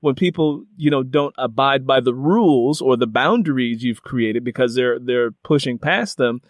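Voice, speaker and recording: A man's voice: medium pitch, normal speed, no echo. Man interviewing, very dry close sound. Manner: meandering, frequent "you know". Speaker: interviewer and host. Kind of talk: casual interview with personal storytelling.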